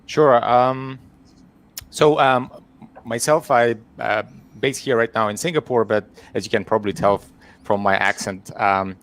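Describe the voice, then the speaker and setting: A man's voice: medium pitch, steady tone, a little slow, fairly bright tone. A man in his thirties from Ukraine, a webinar guest on a headset video call, talking at length with many fillers and restarts. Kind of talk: webinar interview. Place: home room, video call.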